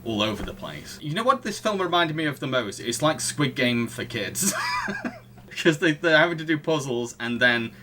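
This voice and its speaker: A man co-hosting a podcast, casually discussing films in a halting, chuckle-prone way. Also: on the high side, expressive, bright, forceful tone, slight background noise. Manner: chuckles through sentences, halting. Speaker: podcast co-host.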